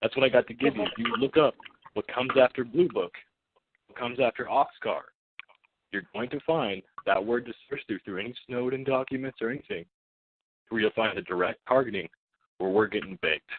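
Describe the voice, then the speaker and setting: A man's voice: medium pitch, brisk, a little hiss or hum. A man phoning in as a caller, talking casually and insistently, with slang and repeated points, over a telephone line. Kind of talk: casual unscripted monologue. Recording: muffled phone conference line.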